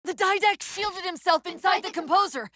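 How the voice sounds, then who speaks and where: A woman voicing a video game's female AI companion, an urgent, wry military guide with crisp, technical, commanding delivery. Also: extremely high pitch, moderate pace, fairly bright tone, dry recording, clean studio sound. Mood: brisk, commanding. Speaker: video game voice actor. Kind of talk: video game dialogue, tactical callouts.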